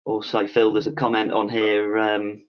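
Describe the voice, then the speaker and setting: A man hosting an online Q&A with a panel, reading out viewers' questions in a relaxed way with lots of "um"s. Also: fairly low pitch, normal speed. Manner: relaxed, filler-heavy, reads out questions. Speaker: webinar host. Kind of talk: casual Q&A moderating. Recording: dull lo-fi call audio.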